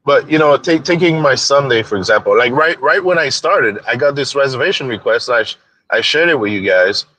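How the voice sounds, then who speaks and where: Canadian male co-host, bright voice, halting, casual. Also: medium pitch, expressive, no room sound, low-quality recording. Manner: halting, restarts often. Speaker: rideshare podcast co-host. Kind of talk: casual live-stream chat.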